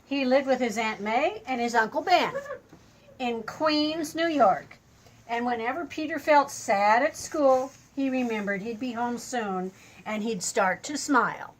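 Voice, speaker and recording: An older woman reading a children's story aloud as a grandmotherly storyteller, adding simple, expressive asides to explain things to a small child. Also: on the high side, a little slow, low-quality recording.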